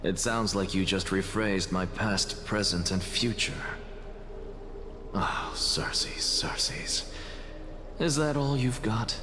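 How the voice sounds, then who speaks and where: An actor playing a proud, defiant male scholar in an anime game, speaking in a dramatic, deliberate and mocking way. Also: on the low side, noisy background.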